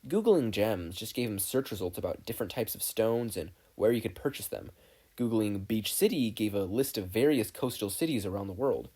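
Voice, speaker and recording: A narrator reading a fan fiction story aloud in an expressive, scripted style. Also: mid-range voice, normal speed, slightly rough. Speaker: podfic narrator.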